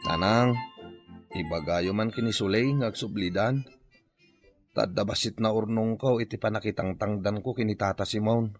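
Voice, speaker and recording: A man's voice: lowish voice, fairly even intonation, moderate pace, music in the background, natural voice. A man with a Filipino Ilocano accent playing a hungry, jobless father who pleads plaintively in a radio comedy drama. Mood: wistful, pleading. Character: hungry, pleading jobless husband and father longing for his family.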